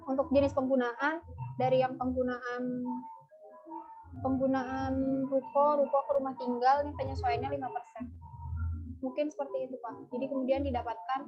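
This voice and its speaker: High-voiced young woman presenting hesitantly. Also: flat delivery, moderate pace, highs cut off, soft background music. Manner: hesitant, explaining step by step with fillers. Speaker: student presenting assignment. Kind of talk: online class presentation. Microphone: video call.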